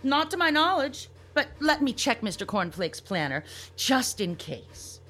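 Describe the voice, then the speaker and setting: A sly secretary from a comic radio play acted by a woman, high-voiced and swooping in pitch. She puts on breezy innocence while letting pointed, impatient sarcasm show through. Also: big pitch swings, a little slow, fairly bright tone, a little hiss or hum, heavily acted. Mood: feigned innocence. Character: scheming secretary, falsely innocent, impatient female rabbit revolutionary.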